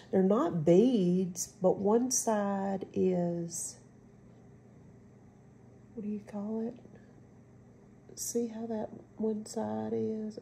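A woman's voice: mid-range voice, gravelly, mellow, slight background noise. A middle-aged woman with a stuffy cold, chatting casually through a craft demo at home and rambling with lots of ums. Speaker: crafting channel host.

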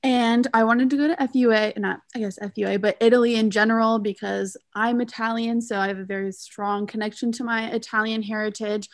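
Chatty young woman with vocal fry. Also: a little high, steady tone, fairly quick, hardly any pauses, no room sound, clean studio sound. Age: 20s. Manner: chatty, with many ums and likes. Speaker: student panelist. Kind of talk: casual panel talk. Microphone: video call.

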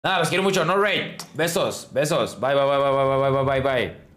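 A man's voice: medium pitch, normal speed, a bit bright. A man in his twenties with a Mexican accent, chatting casually and in slang as a game streamer. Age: 20s. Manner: slangy, informal. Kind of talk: casual livestream chat. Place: indoors in a small room at a desk.

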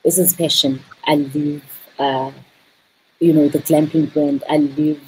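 A woman's voice: deep voice, flat delivery, a little slow, a little hiss or hum, poor audio. A South African woman in her thirties, a tourism business owner on a video call, talking animatedly with many repeated words and fillers. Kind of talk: casual video-call interview. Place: indoor room.

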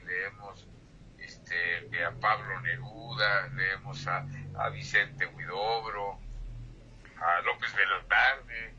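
A man's voice: sits low, fairly even intonation, drawn-out words, pushed and bright. An older man, a poet, reciting verse with whispered refrains and then chatting about his books over a phone line. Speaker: poet and guest. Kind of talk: phone-in interview and poetry reading. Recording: tinny phone line with faint hiss.

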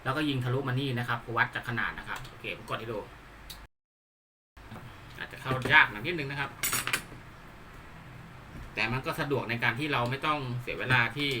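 Male DIY demonstrator: very bright, forceful voice, halting polite explanations, light background noise. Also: medium pitch. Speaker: hobbyist presenter demonstrating a DIY build. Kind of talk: unscripted hands-on tutorial talk.

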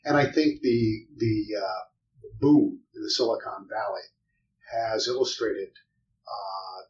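A man's voice: on the low side, takes it slowly. Guy from iowa, company cofounder, sounds far from the mic, muffled, a little room noise. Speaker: company co-founder.

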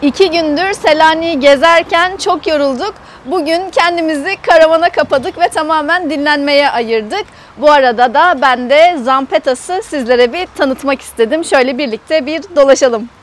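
Female travel vlogger, high voice, chatty and explanatory, casual walk-around tour delivered outdoors. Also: moderate pace, hardly any pauses. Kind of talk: casual guided tour to camera. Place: outdoors in an open camper park lot.

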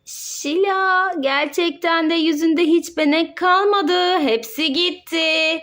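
A woman's voice: very high-pitched, slow, fairly bright tone. A woman playing with her child, narrating toy doctor play in a sing-song, encouraging way and explaining each step.

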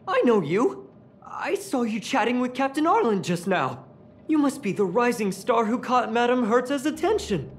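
A woman's voice: mid-range voice, natural voice. A woman voicing an earnest, self-conscious young engineer in video game story dialogue, talkative and dramatic. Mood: puzzled, friendly.

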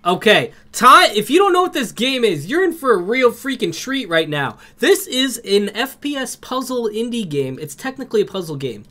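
A young man with a cold, streaming a game from home on a headset and greeting his chat by name with excited outbursts. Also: very high-pitched, normal speed, hardly any pauses. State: has a cold.

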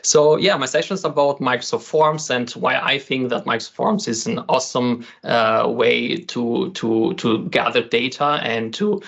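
A man's voice: mid-range voice, moderate pace, creaky, few pauses, fairly bright tone, dull audio. A man in his thirties, from Albania, presenting a session on an online community call, hesitant with many fillers and repeated words. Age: 30s. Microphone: computer mic on a video call.

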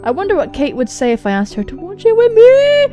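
A young woman, a gaming host, reading visual novel text aloud and commenting on it in a jokey, animated way with casual swearing. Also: very high voice, fairly quick, dull audio, soft background music. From England. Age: young adult. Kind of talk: let's play commentary and dramatic reading of on-screen dialogue. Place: indoor room. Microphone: large microphone close to the mouth.

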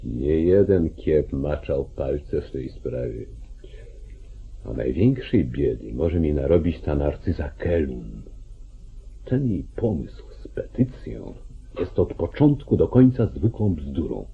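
An actor playing a calculating, commanding judge and politician in an old radio play, speaking deliberately. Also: male voice, very deep voice, expressive, gravelly, husky, full character voice. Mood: scheming, commanding. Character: calculating, domineering small-town judge, older male politician. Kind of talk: mid-century Polish radio play acting. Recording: muffled, narrow-band 1940s radio recording.

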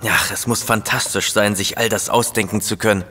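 A man playing an eager, impressionable detective's assistant and chronicler in a German radio drama, lively and open. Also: on the low side, fairly quick, husky.

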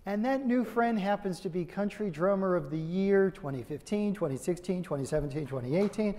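A man's voice: sits high, expressive, a little fast. A man in his fifties giving an enthusiastic stage introduction at a podium in a large hall. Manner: warm, enthusiastic, emphatic repetition. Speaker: event host introducing the speaker. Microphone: podium mic. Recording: reverberant hall with audience murmur.

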